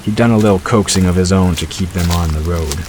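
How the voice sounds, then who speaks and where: A man with a very low voice narrates a scripted story. Rain and vehicle sounds are clearly audible behind him as he reads. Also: fast talker, background noise. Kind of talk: scripted audiobook-style narration. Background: rain and vehicle sound effects.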